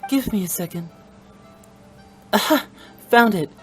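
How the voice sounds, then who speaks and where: A mischievous young woman who runs a funeral parlor in a video game. She teases with a lively, sing-song lilt at a mid pitch. Faint game music plays behind. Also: unhurried. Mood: playful. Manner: teasing, playful.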